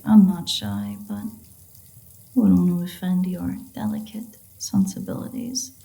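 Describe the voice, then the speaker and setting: A woman playing a sardonic, predatory vampire in an intimate roleplay audio drama, with a husky, breathy voice and a playful, drawling delivery. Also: mid-range voice, warm tone, slight background noise, lightly acted. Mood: teasing, wry. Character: teasing, unrepentant female vampire, sardonic and predatory.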